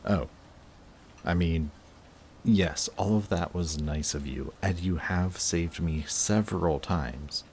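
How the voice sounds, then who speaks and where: A man playing a guarded villain in love with a rival, in an intimate audio roleplay with halting, emotional delivery. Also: low-pitched, a little mellow, a little hiss or hum. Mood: overwhelmed, happy. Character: lovestruck male villain, guarded, flustered, confessing love to rival.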